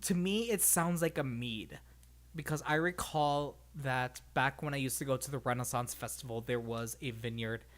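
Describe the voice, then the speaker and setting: A read-along streamer with a medium-pitched voice. The delivery is expressive storybook narration, informal and unpolished, as on a live stream. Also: moderate pace.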